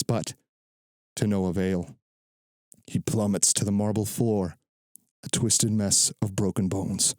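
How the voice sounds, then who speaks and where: A man reading literary fiction as a narrator, in a measured, dramatic storytelling delivery close to the microphone. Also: lowish voice, a little mellow, no echo.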